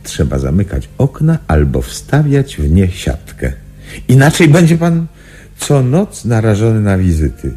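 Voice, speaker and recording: Calm doctor in a radio play, low mellow voice, explains patiently. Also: male voice, slightly rough, soft background music, exaggerated voice. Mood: reassuring. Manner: measured, explanatory. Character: calm, reassuring male sanatorium doctor. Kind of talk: Polish radio drama acting.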